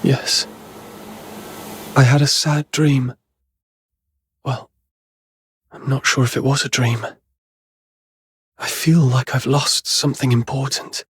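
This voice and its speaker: An actor playing an earnest, lost young male protagonist in a video game, with a husky, breathy voice. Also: mid-range voice, steady tone, slow pace, pauses often, no echo. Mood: sad, hesitant. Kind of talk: video game dramatic acting.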